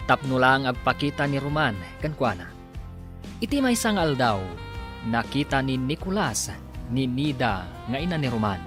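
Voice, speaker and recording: A man's voice: medium pitch, very animated, normal speed, over music. A man with a Filipino accent narrates and announces a radio drama serial in a dramatic scripted style.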